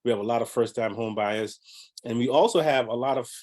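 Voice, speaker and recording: A man's voice: medium pitch, fairly quick, darkish tone. A man from the Bahamas, a realtor and podcast guest, talking casually in an upbeat, rambling way with many fillers. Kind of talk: casual interview talk.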